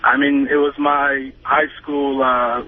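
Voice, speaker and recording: A young man in his early twenties, calling a radio show and telling his story casually and haltingly. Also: medium pitch, little pitch movement. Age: early 20s. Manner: hesitant, halting. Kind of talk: casual phone confession. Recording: tinny, noisy phone line.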